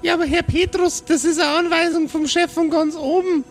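A man's voice: extremely high pitch, fairly even intonation, brisk, faint music behind. A man voicing a flustered little childlike cherub messenger who stammers, repeats himself and defers to his boss in an improvised audio drama. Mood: nervous.

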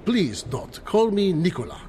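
A man's voice: high voice, sing-song, unhurried, warm tone, big-room sound, a little hiss or hum. A man playing an eager, theatrical, erudite scientist-adventurer in an old-time radio style adventure drama. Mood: enthused, genial. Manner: dramatic, erudite, grandly enthusiastic.

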